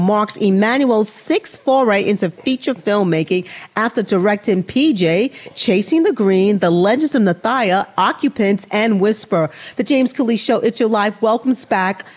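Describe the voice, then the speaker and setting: A woman's voice: medium pitch, expressive, a little slow, few pauses, darkish tone. Woman reading a formal introduction. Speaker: co-host.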